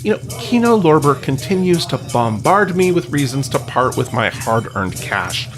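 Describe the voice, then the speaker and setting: A man's voice: mid-range voice, very animated, normal speed, faint music behind. A man hosting a podcast in a casual, wry, self-deprecating and informal way. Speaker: podcast host. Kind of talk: casual podcast talk with read-out news items.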